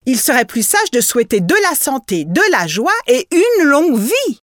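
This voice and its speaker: A cautious, hot-tempered peasant husband from a storybook reasons and argues, voiced by a woman reader. His lines bounce with lively pitch. The recording is dry and close. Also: moderate pace, dry recording. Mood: reasoning.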